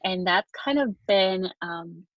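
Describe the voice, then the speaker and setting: A woman's voice: medium pitch, expressive, slow, dry recording, lo-fi sound. A woman in her thirties from Venezuela, a podcast guest telling her story casually with plenty of fillers and restarts. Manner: chatty, many fillers and restarts. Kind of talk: casual conversation, personal story.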